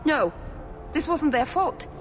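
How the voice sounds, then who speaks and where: An actress playing an earnest, gentle-hearted young woman companion in a fantasy game. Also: sits high, expressive, takes it slowly. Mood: appalled. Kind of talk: fantasy video game voice acting.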